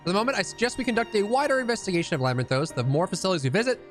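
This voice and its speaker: A man's voice: high-pitched, very animated, racing, faint music behind, pitched above the performer's own voice. A man voicing an earnest, polite young woman scholar from a fantasy game, formal and bookish. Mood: thoughtful.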